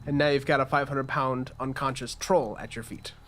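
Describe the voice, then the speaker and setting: A man's voice: mid-range voice, fairly even intonation, normal speed, a bit bright, slight background noise. A man serving as game master, casually improvising narration and rulings for a tabletop game.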